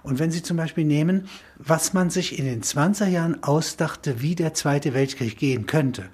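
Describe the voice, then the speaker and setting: A man with a husky voice speaks as an interview guest in a spontaneous intellectual discussion, in halting, emphatic phrases with little tag questions. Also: on the high side, darkish tone. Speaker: interview guest explaining a film concept.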